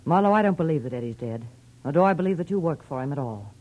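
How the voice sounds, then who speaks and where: Icy, glamorous American wife in 1940s radio noir, clipped, scornful, dangerous. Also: female voice, low-pitched, lively intonation, quick pace, narrow, tinny audio. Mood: cold, menacing. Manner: curt, scornful, threatening. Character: cold, glamorous, hard-edged femme fatale wife.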